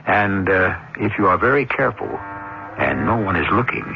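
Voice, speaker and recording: A man hosting a radio mystery series, giving a measured, urbane, confiding storytelling introduction. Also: sits low, animated, creaky, noisy background. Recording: dull old radio broadcast. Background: music.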